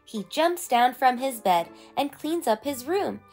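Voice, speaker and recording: Female storyteller for kids' read-aloud, high voice, scripted picture-book narration over soft music. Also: animated, unhurried. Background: faint music.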